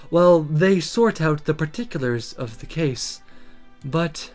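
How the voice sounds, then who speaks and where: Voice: mid-range voice, close to an everyday voice. A game streamer voicing a stern female mage teacher from a visual novel, subdued and resigned.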